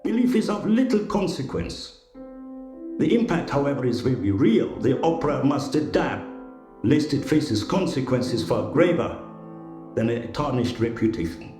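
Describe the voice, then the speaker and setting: A grave opera house manager, acted by a man, speaks formally and solemnly in a mid-pitched voice. The sound is roomy and echoing, with clear guitar music behind the ominous audio drama delivery. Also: very animated, normal speed, close to an everyday voice. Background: plucked guitar music.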